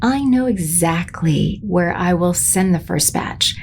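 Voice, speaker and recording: A woman playing an imperious, scheming space sorceress empress in an audio drama, creaky and commanding. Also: lowish voice, lively intonation, slow pace, slight background noise. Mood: scheming, menacing. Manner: commanding, haughty.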